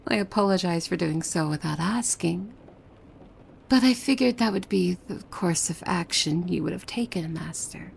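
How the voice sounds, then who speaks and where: A woman playing a possessive, devoted demon maid in an ASMR roleplay, coy and formal, with a soft breathy voice that often slips into whispers. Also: fairly low pitch, moderate pace, slight background noise. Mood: sweetly menacing. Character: possessive, devoted young female demon maid, sweetly menacing. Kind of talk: ASMR roleplay, scripted monologue to the listener.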